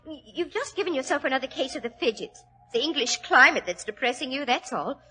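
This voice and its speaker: An actress in an old radio drama playing a young aristocratic daughter who stays brisk and reassuring. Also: female voice, high-pitched, expressive, bright, forceful tone, faint music behind. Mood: cheerful. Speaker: Hilda. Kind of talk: 1940s radio acting. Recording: old radio broadcast recording.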